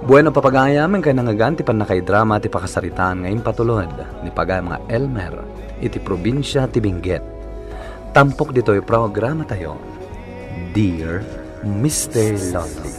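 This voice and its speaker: A man's voice: on the low side, lively intonation, moderate pace. A man making scripted announcements as the host of a radio drama. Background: faint music.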